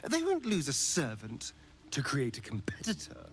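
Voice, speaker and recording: Theatrical, swooping vampire. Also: male voice, medium pitch, huge pitch swings, moderate pace. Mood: sly, explanatory. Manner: arch, dramatic, swinging from mocking to hushed. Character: sardonic, theatrical male vampire spawn, centuries old. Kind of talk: video game character dialogue.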